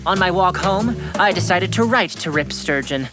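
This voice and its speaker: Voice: medium pitch, lively intonation, moderate pace, natural voice. An actor playing an earnest, self-important sixth-grade boy detective in a radio drama, speaking formally and precisely. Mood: formal, self-assured. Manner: pompous, formal, precise diction. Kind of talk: radio drama acting and first-person narration. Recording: music under the voice.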